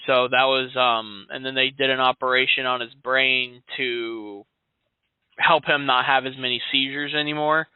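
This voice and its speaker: A man's voice: medium pitch, level tone, moderate pace, smooth, no echo. Young male insurance agent on a dry phone line. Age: 20s. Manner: casual, friendly, filler-heavy. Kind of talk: phone sales call.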